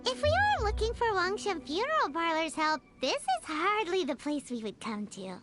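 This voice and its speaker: A woman voicing a small, childlike fairy companion in a video game, squeaky, excitable and easily flustered, with dramatic exclamations. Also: normal speed. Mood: indignant. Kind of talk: animated video game voice acting. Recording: loud game music behind the voice.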